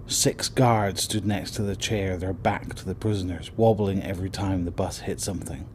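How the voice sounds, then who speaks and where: Low-voiced man narrating horror drama. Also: steady tone, a little mellow, slight background noise. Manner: measured, suspenseful. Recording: voice over prominent music.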